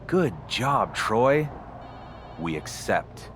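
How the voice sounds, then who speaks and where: A man's voice: on the low side, big pitch swings, very slow, very noisy, full character voice. A man playing a cool, defiant wrestling champion with a dry, sardonic, confident delivery. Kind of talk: video game cutscene acting.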